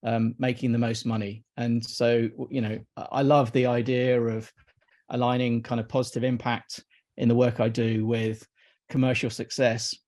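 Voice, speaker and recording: A man's voice: mid-range voice, level tone, moderate pace, a little mellow. A man in his fifties from Iraq, a guest in a casual interview, who talks hesitantly, often repeating words and restarting sentences. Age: 50s.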